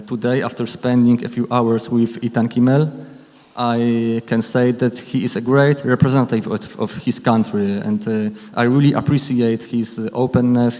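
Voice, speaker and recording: A man giving a formal, measured introduction of a guest lecturer. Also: mid-range voice, darkish tone. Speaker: event host introducing speaker. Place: large lecture hall. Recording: long hall reverb.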